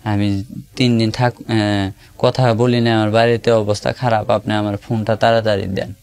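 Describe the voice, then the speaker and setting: A man's voice: fairly low pitch, level tone, moderate pace, a little mellow, clean tone. A young man, telling his own story in a plain, hesitant way. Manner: plain, hesitant, simple colloquial speech. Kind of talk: personal testimony in an interview.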